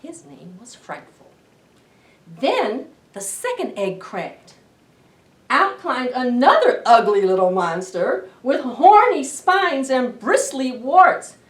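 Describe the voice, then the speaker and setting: A middle-aged woman hosting a children's storytime, reading aloud in an expressive, deliberate way to young listeners. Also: high voice. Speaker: library storytime host. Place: indoor library children's room. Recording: roomy echo, distant camera microphone.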